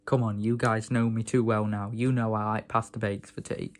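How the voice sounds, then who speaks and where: A young man from England, hoarse from a sore throat, rambling and grumbling in a casual vlog rant. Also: lowish voice, little pitch movement, moderate pace. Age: young adult. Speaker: vlogger.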